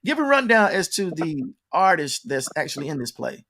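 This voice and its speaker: A man's voice: a little high, sing-song, moderate pace, pushed and bright. A man hosting a conversational interview, informally addressing his audience like an announcer. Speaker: interview host and promoter. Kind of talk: conversational radio-style interview.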